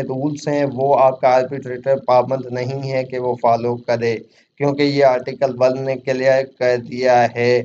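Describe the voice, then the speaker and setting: Male Pakistani lecturer, dark mellow voice, didactic question-and-answer delivery, slightly noisy recording. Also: mid-range voice. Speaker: law exam lecturer. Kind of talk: explanatory lecture, reading English statute aloud and explaining it in Urdu. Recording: muffled lo-fi audio with faint noise.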